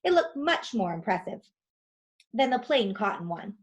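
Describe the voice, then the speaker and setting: A woman narrating a children's storybook aloud in an expressive style. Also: a little high, moderate pace.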